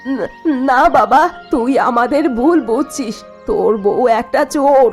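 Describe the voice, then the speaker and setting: A woman acts out a scheming middle-aged mother-in-law from a cartoon family tale. Her delivery is needling and self-justifying, full of spite. Quiet music sits under the voice. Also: fairly high pitch, a little slow. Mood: defensive. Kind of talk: dubbed cartoon dialogue.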